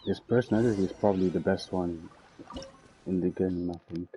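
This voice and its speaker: Low, very mellow young male game streamer. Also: fairly even intonation, brisk, slight background noise, rough recording. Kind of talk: casual gameplay commentary.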